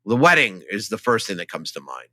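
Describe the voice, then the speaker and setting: A man's voice: lowish voice, big pitch swings, a little fast, sharp tone, dry recording. A man co-hosting a casual film podcast, talking enthusiastically and emphatically in a conversational way. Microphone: studio microphone, close.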